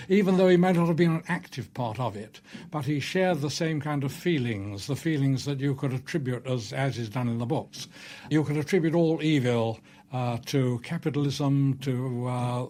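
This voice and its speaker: A man's voice: mid-range voice, mellow. A man giving unscripted, analytical commentary in an interview. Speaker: commentator on a writer's work.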